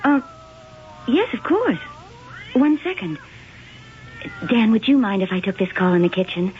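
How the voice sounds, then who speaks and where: An actress playing a friendly, easily alarmed young woman in a radio mystery drama, polite and expressive. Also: fairly high pitch, a little slow. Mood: hospitable. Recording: muffled, noisy 1980 radio broadcast.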